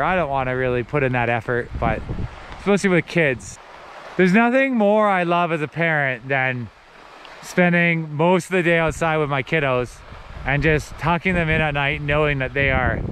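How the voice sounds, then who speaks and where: A woman's voice: deep voice, a little hiss or hum, rough recording. A woman in her thirties coaching her kids on a ski slope and talking to an action camera outdoors. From Canada. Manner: encouraging, upbeat coaching. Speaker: vlogger and parent ski coach. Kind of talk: coaching kids while skiing, vlog talk. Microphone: helmet or handheld action camera.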